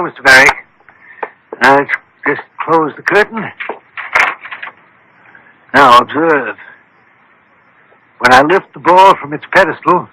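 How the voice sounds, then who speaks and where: A man's voice: fairly high pitch, sharp tone, husky, heavily acted, low-quality recording. An actor playing a brilliant, methodical scientist detective in a radio mystery, precise and commanding as he explains. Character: brilliant, methodical male scientist detective called the thinking machine. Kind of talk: radio mystery drama acting.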